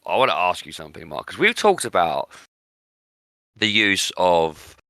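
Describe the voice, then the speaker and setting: A man with a low, forceful voice hosts a book podcast. He argues his opinions emphatically and repeats words to drive them home. The sound is dry, with very little room to it. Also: very animated, moderate pace, gravelly, dry recording, low-quality recording. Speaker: podcast host.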